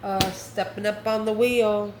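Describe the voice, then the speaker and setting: A woman's voice: mid-range voice, steady tone, a little slow. A woman giving an informal tarot reading as host, talking in a folksy, emphatic way and addressing listeners with endearments. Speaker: tarot card reader and channel host. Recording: roomy echo, faint background noise.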